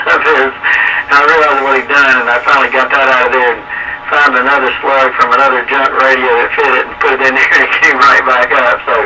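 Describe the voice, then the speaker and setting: A man telling folksy, rambling stories in casual chat over a CB radio transmission. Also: mid-range voice. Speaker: CB radio hobbyist. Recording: noisy, distant CB radio transmission.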